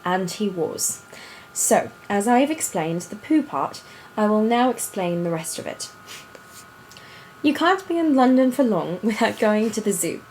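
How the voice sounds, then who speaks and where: A woman playing a whimsical children's storybook narrator, reading expressively in an animated way. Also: medium pitch, unhurried, slight background noise, low-quality recording.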